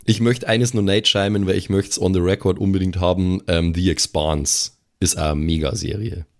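A man's voice: sits low, fairly even intonation, normal speed. A man chatting casually on a podcast in breezy, slangy phrasing. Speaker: podcast regular. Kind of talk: casual chat.

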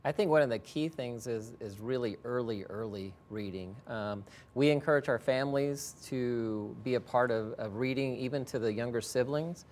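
Man, mid-pitched voice, school educator, conversational interview guest, light rumbly noise. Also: moderate pace, few pauses, darkish tone.

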